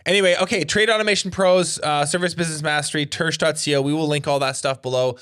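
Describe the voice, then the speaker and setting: A man's voice: on the high side, fairly even intonation, quick pace, fairly bright tone, clear, resonant tone, no room sound. Informal male podcast host, dry sound. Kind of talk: casual interview chat.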